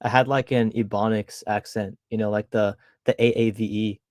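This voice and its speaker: A man's voice: fairly low pitch, fairly even intonation, normal speed, a little mellow, dry recording, studio quality. A young man streaming casually, reading out chat messages and rambling with frequent restarts, his tongue held back from an underbite. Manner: rambling, self-correcting, laughs at himself.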